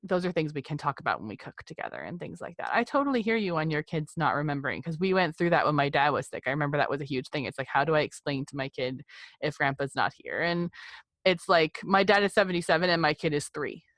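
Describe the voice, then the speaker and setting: Female podcast guest, forties. Low pitch, dry recording, candid and reflective conversational delivery. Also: lively intonation, fast, fairly bright tone, dry recording. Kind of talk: candid personal interview conversation.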